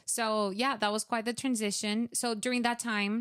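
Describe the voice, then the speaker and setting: Young woman, smooth clear voice, dry close sound, chatty with lots of fillers. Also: a little high, level tone, moderate pace, fairly bright tone, no room sound. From Venezuela. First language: Spanish. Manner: chatty, many fillers, acts out her own past thoughts. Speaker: guest. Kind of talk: casual interview storytelling.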